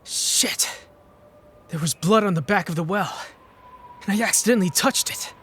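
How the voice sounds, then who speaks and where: A man playing a troubled young psychic who narrates his story in a brooding, hushed, dramatic fan-dub style. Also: sits high, normal speed, a little hiss or hum. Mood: shaken.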